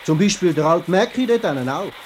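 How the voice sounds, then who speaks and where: A pushy Swiss German workman, acted by a man in an old radio drama. His voice is a bit high and he nags in rough everyday talk, over light background noise. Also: animated, brisk, natural voice. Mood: scolding. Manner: nagging, colloquial. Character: pushy, plain-spoken male factory worker collecting money. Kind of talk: 1950s radio play acting.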